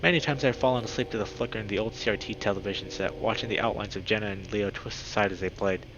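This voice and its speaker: A man reading a visual novel aloud as a streamer, with expressive reactions. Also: fairly low pitch, quick pace. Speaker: video game streamer. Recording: dull lo-fi mic with clear background noise.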